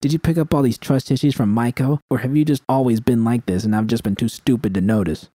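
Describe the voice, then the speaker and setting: A man's voice: mid-range voice, quick pace, mellow, no room sound, studio quality, close to an everyday voice. A man voicing a hot-tempered woman technician in dramatic audio fiction, speaking with cutting, emotional delivery. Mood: angry. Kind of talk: dramatic first-person audio fiction dialogue.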